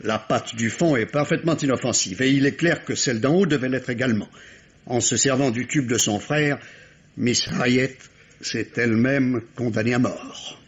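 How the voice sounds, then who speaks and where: A man's voice: mid-range voice, normal speed, natural voice. A man acting a shrewd, insistent police inspector in a vintage radio mystery, speaking formally and precisely. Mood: explanatory. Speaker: radio drama actor. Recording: dull, lo-fi old radio recording.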